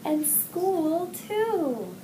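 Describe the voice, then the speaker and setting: A middle-aged woman reading a children's picture book aloud with expressive, sing-song phrasing. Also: very high voice, drawn-out words. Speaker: children's story reader, hospital executive assistant. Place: indoor room with wall decorations. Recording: roomy echo, distant mic, clear background noise.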